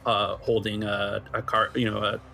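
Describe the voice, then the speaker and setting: A man working as game master, improvising descriptions of a scene in a halting way. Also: on the low side, monotone, vocal fry, pushed and bright. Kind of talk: improvised tabletop game narration.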